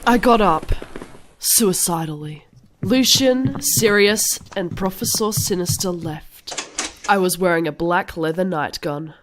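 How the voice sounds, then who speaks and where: A woman's voice: on the low side, unhurried, a little hiss or hum, close to an everyday voice. A woman playing a self-dramatising teenage goth girl, melodramatically narrating her own story in a scripted cartoon parody. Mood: dramatic narrating. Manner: melodramatic, reads typos and numbers literally.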